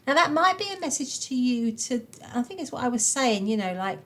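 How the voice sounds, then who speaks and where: Croaky-voiced woman reading tarot cards. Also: on the high side, very animated, fairly quick, a bit bright. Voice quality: hoarse, slightly nasal. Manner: chatty, reassuring, many fillers. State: croaky voice from a cold, blocked nose. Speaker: tarot reader. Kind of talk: pick-a-card tarot reading.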